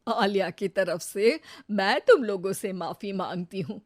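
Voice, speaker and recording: A woman playing a humble, forgiving older village mother-in-law in a cartoon moral story, gentle and motherly. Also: medium pitch, a little slow, no echo, studio quality, lightly acted. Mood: forgiving, earnest.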